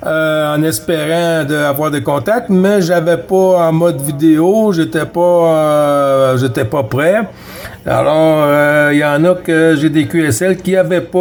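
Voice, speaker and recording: Higher-voiced Quebec French man on radio. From Quebec, Canada. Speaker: CB radio operator. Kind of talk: casual radio contact chat.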